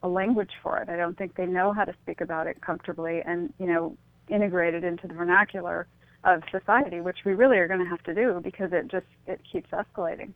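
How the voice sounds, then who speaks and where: A woman's voice: fairly low pitch, steady tone, fast, dry recording. A woman speaking as an interview guest over the phone, thoughtful and tentative, searching for words as she goes. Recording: tinny narrowband phone line.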